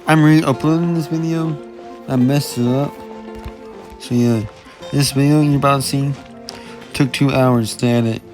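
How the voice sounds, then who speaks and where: A man's voice: medium pitch, fairly even intonation, unhurried, long pauses, loud music behind the voice, poor audio. A man speaking casually to viewers as a video uploader making an announcement.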